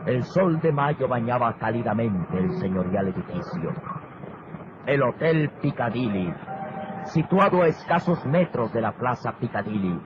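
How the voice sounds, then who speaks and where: An actor playing the grandiose narrator of an old radio adventure serial, declaiming florid descriptions. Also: male voice, mid-range voice, lightly acted. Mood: solemn, vivid. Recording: noisy, muffled vintage radio recording. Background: music, sound effects and ambience.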